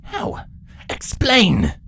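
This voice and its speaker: A man puts on a regal female changeling ruler from a fantasy audio story. The voice is pitched well above his own and swings widely. The queen speaks formally and coolly, with measured authority. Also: wildly swooping pitch, creaky, bright, forceful tone, slight background noise, heavily acted. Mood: shocked, demanding. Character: cold, regal changeling queen heading a council, composed, formal.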